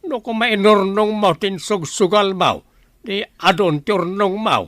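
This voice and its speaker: An actor playing a nagging, exasperated older spouse in a radio drama, scolding as they talk. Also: male voice, high voice, a little slow, fairly bright tone, no room sound, studio quality.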